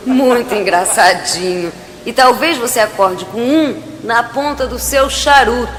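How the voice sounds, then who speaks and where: An actress playing a calm, curious, gently teasing woman on a jungle expedition in an audio drama. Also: on the high side, normal speed, a little hiss or hum, lightly acted. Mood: teasing, admiring. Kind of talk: naturalistic audio drama acting. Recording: echoey cave-like reverb.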